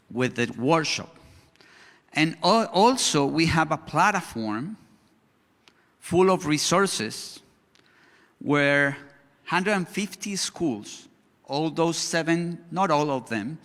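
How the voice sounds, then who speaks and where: A man who is not an English speaker presents at a conference in slow, halting speech, often breaking off and starting his sentence again. His voice sits a little high for a man. The sound carries the long reverb of a large hall. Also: a bit bright. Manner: slow, halting, frequent restarts. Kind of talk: conference talk with audience Q&A.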